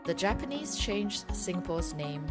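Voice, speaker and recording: A woman's voice: fairly low pitch, very animated, moderate pace. A woman reads scripted questions and their answers as a quiz narrator. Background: loud music.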